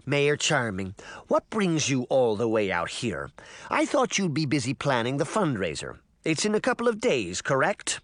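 A man's voice: medium pitch, huge pitch swings, moderate pace, fairly bright tone, dry recording. A man doing a shrewd old grandmother. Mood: polite. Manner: polite with forced cheer. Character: shrewd older grandmother, a detective.

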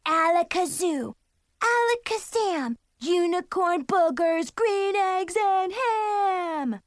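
A woman's voice: very high voice, lively intonation, slow, a bit bright, no room sound, studio quality, voice pushed up, full character voice. A woman voicing a bratty, theatrical little girl who plays stage magician in a storybook, showy and prone to whining. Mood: grand, showy. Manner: showy announcing, whiny when thwarted.

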